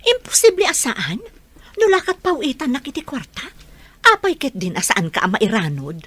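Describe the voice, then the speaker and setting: A woman's voice: sits high, wildly swooping pitch, normal speed, fairly bright tone, breathy edge, no echo, full character voice. A woman with an Ilocano accent playing a brash, scheming, money-minded mother in a radio drama. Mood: scheming, insistent. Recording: background music under the voice.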